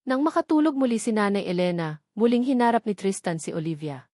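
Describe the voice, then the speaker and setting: A woman telling a scripted romance story at a measured, gentle pace. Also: mid-range voice, expressive, no room sound, studio quality.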